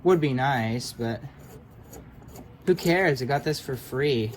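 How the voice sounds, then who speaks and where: A man's voice: medium pitch, takes it slowly, slight background noise. A man giving casual, hands-on commentary as a hobbyist electronics repairer, offhand and muttering as if to himself.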